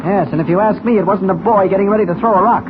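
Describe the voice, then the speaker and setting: An actor playing a shrewd, mild-mannered newspaper reporter in a 1940s radio serial, speaking with urgent, deliberate emphasis. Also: male voice, high-pitched, natural voice. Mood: intrigued. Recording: tinny, noisy old radio recording.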